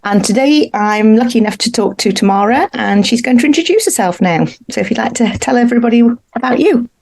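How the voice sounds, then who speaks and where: A woman's voice: fairly high pitch, expressive, fast talker, gravelly, a little mellow. A woman hosting a podcast, reading a short intro and then encouraging her guest. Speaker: podcast host. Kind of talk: scripted podcast intro then interview chat.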